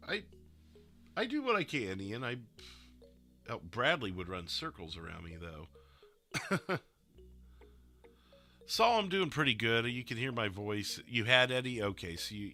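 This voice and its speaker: A man chatting casually with his stream viewers, his voice a little rough and stuffy, rambling and laughing often. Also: fairly low pitch, big pitch swings, unhurried, long pauses, bright, forceful tone, soft background music. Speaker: livestreamer playing a roleplay dispatcher.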